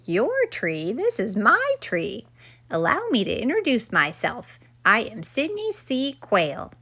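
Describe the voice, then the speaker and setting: A woman's voice: fairly high pitch, wildly swooping pitch, takes it slowly, fairly bright tone, exaggerated voice. A woman voicing a proud, cheeky male talking quail in a children's storybook, with a pompous, showy manner. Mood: haughty. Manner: pompous, showy introductions and explanations.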